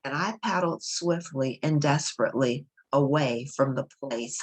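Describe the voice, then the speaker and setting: Low, husky woman reading a story aloud. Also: normal speed, low-quality recording. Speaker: storybook reader. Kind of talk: read-aloud narration of a children's novel.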